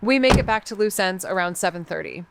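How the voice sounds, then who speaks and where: A woman's voice: mid-range voice, fast talker, gravelly, music in the background, lightly acted. An actress as a skittish wisecracking woman sleuth. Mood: tired, playful. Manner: blunt, colloquial, exclamatory sarcasm. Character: sweary, skittish, wisecracking female amateur sleuth. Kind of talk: neo-noir audio drama dialogue and narration.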